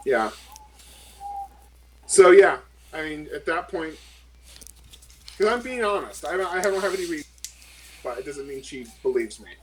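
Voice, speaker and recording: A man's voice: on the high side, lively intonation, normal speed, pauses often, fairly bright tone, rough recording. A man bantering casually as a tabletop role-player, sardonic and sweary. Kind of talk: casual in-character game banter.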